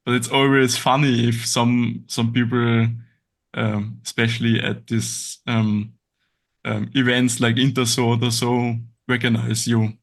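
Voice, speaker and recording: A man with an Austrian German accent, a guest speaking halting non-native English and often searching for words. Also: medium pitch, little pitch movement, gravelly. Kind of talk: casual interview chat.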